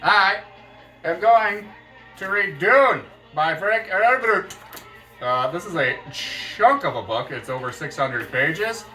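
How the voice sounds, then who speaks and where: A man chatting casually to camera about books, animated and quick to jump up in excitement. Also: distant mic, faint music behind. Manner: animated, jumps up in pitch with excitement. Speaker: book vlogger.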